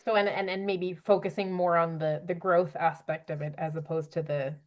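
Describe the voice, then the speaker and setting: A woman's voice: on the low side, flat delivery, moderate pace. A woman hosting a panel discussion in a conversational, affirming manner. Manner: affirming, rephrasing what guests say. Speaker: panel host, moderator.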